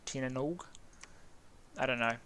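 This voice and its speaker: A man's voice: medium pitch, a little slow, bright, forceful tone, smooth. Australian man musing over strategy. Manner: thinking aloud, stumbling over names. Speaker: gaming video host. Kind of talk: casual strategy game commentary.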